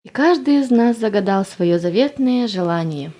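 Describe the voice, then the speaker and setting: A woman's voice: mid-range voice, animated, normal speed, warm tone, natural voice. Woman playing a tender, lovestruck Soviet schoolgirl. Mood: tender, wistful. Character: lovestruck naive Soviet schoolgirl recalling a boy's poem. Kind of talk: dramatic monologue and verse recital.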